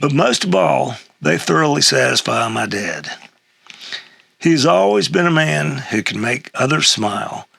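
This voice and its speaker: An older man with an American accent and a gravelly, husky voice, reading a humorous personal essay aloud in a halting way. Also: a little high, expressive, pushed and bright, no echo, studio quality. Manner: halting, with throat clearing and chuckles.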